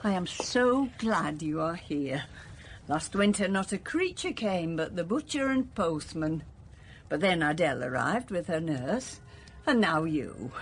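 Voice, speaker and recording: Elderly housekeeper, kindly, talkative, animated pitch, radio drama, faint background noise. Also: female voice, a little slow. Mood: welcoming. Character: neat little elderly English housekeeper, kindly, chatty, motherly.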